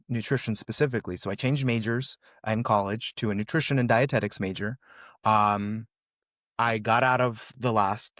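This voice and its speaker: A man's voice: mid-range voice, a bit bright, dry recording, clean studio sound. A man in his twenties, a native Russian speaker raised in California, telling his story as a podcast guest in an earnest, casual way, full of "um" and "brother". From USA, California.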